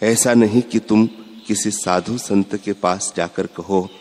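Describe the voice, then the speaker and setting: A man giving a measured, instructive spiritual discourse, repeating his points. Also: on the low side, fairly even intonation, a little mellow. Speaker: spiritual speaker. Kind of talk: slow devotional discourse. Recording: long hall reverb.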